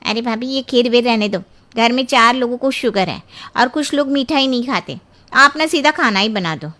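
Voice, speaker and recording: A woman voicing a brisk, matter-of-fact, bossy in-law from a big household in a Hindi cartoon story. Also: on the high side, bright, forceful tone.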